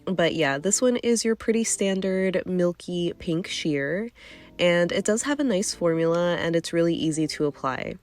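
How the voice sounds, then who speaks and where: A woman's voice: lowish voice, lively intonation, normal speed, darkish tone. A young woman with a nasally congested voice, casually reviewing nail polish in a chatty, candid way. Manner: chatty, candid, hedging with fillers. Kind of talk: casual product review vlog.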